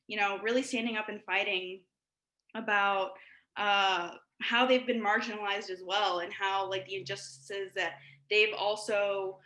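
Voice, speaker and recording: Bright-voiced woman in an echoey room. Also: mid-range voice. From Ecuador. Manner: earnest, many fillers and pauses. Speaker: panelist. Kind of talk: panel discussion remarks.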